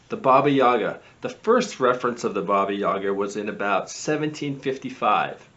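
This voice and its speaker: A man's voice: on the low side, fairly bright tone. A man hosting spooky lore segments with theatrical emphasis, phrases rising and dropping away. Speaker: show host. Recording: slightly dull, lo-fi audio.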